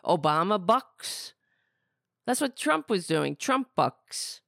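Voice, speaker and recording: A woman's voice: lowish voice, sing-song, takes it slowly, creaky, a bit bright, no echo, clean studio sound. A middle-aged woman hosting a podcast, losing her voice, talking in a rambling, sardonic, blunt way. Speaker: podcast host. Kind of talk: casual political commentary.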